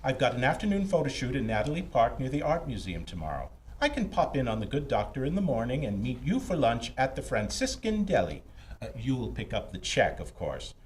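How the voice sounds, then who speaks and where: A woman's voice: extremely low pitch, big pitch swings, brisk, darkish tone, a little hiss or hum, low-quality recording. A woman playing a droll, fussy photographer sidekick in an old-time radio mystery, speaking in an arch, theatrical manner. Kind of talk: old-time radio detective play acting.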